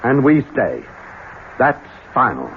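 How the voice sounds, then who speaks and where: An actor playing a tense, brooding master of the house and husband in a 1970s radio drama, curt and edgy. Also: male voice, medium pitch, big pitch swings, slow pace, narrow, tinny audio. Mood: stern, angry. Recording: band-limited, noisy old radio recording.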